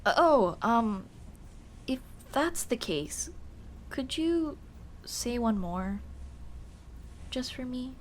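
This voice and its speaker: A woman plays a tomboy childhood friend, young and smitten, in an intimate ASMR roleplay. Her voice sits fairly high and swings widely in pitch as she moves between playful teasing and bashful hesitation. The talk is casual and affectionate, over faint background noise. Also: sing-song, drawn-out words, pauses often, heavily acted. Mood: flustered, moved. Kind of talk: ASMR roleplay acting, intimate girlfriend scene.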